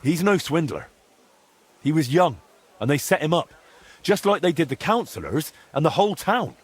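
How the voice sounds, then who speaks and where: An actor playing a determined young blacksmith hero in a video game, coaxing and firm, with a husky voice. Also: male voice, on the high side, lively intonation, moderate pace. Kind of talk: video game drama acting.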